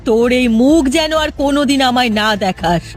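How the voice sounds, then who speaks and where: A woman's voice: sits high, expressive, a little fast, soft background music. A woman voicing a village mother in a Bengali cartoon. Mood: angry, disgusted. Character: stern, scolding village mother, later a worried poor wife. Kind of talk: cartoon voice acting.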